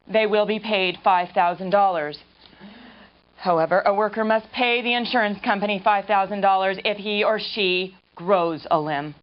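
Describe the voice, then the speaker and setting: An actress playing a polished, flippant American TV news anchor in a mock game newscast, with a deadpan delivery. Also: female voice, mid-range voice, lightly acted. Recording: dull lo-fi audio under music. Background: music.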